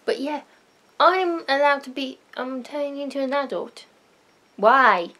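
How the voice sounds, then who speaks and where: A woman's voice: high-pitched, expressive, slow. A teenage girl rambling in a casual, self-mocking vlog, talking into a webcam in her bedroom. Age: teens. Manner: rambling, self-mocking, loud sudden outbursts. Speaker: vlogger. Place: small bedroom, indoors. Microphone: webcam at desk.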